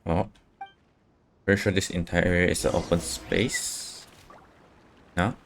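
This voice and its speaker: Deep-voiced male game streamer, offhand. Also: steady tone, measured pace, gravelly, fairly bright tone, slight background noise. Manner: offhand, brief dry reactions. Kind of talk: casual livestream commentary.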